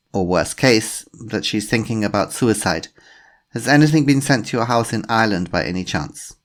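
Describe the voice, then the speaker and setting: A man's voice: fairly low pitch, pitched above the performer's own voice, close to an everyday voice. A man voicing a female police inspector with a slight German accent, politely persistent in her questions.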